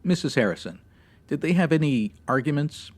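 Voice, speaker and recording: An actor playing a no-nonsense 1950s American police sergeant, deadpan and clipped, in old radio detective style. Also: male voice, on the high side, fairly quick. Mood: businesslike, questioning.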